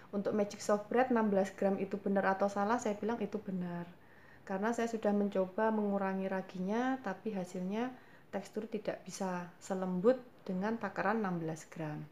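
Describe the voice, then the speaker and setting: A woman's voice: medium pitch, darkish tone, a little hiss or hum. A woman with a Javanese accent hosting a home baking tutorial, answering viewer questions patiently with frequent hesitations. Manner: patient, explanatory, frequent hesitation fillers, addresses viewers as mothers. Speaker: cooking channel host.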